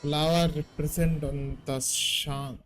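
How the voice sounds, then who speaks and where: A man's voice: fairly high pitch, level tone, slow pace, fairly bright tone, soft background music, low-quality recording. A man with an Indian accent, a native Hindi speaker, doing casual gameplay commentary and reading dialogue aloud. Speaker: gaming streamer.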